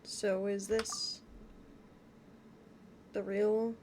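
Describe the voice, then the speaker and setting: A woman's voice: medium pitch, level tone, drawn-out words, darkish tone, slight background noise. A woman voicing a wide-eyed, curious companion in scripted fantasy game dialogue. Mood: curious, surprised.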